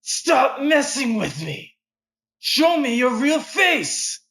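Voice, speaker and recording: Vengeful cowboy hunter, done by a man, melodramatic, emphatic, obsessed, widely swinging pitch, storybook drama. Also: sing-song, slow pace, sharp tone, full character voice. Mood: enraged.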